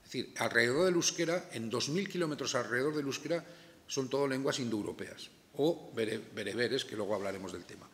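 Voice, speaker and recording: A man's voice: mid-range voice, a little fast, a bit bright. An older man with an accent from Spain giving an informal, emphatic lecture, often digressing and asking rhetorical questions. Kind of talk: informal academic lecture to an audience. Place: indoor lecture room, seated at a table. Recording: echoey lecture room recording.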